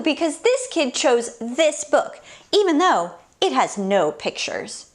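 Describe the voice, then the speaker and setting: High, animated woman reading to children. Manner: exaggerated, theatrical, playful reactions. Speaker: children's story time host. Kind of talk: animated picture book read-aloud for kids.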